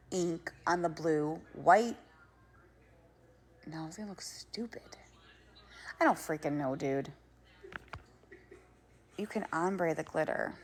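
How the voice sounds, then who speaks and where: A woman's voice: fairly low pitch, slow pace, long pauses. A woman from Florida livestreaming, thinking aloud and chatting casually while she works on a craft, with a rambling manner and casual swearing.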